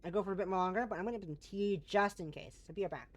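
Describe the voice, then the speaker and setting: A woman streamer chatting casually with her viewers, her voice hoarse and fading and her nose blocked, talking in bursts with lots of restarts. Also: mid-range voice, rapid-fire. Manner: chatty and warm to chat, frequent restarts. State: hoarse, voice going.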